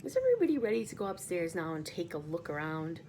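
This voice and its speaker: A woman's voice: on the low side, huge pitch swings, fast talker, warm tone, a little hiss or hum. A woman voicing a wise, cheerful old male grasshopper who reassures the others in a storybook reading. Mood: reassuring. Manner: kindly, reassuring.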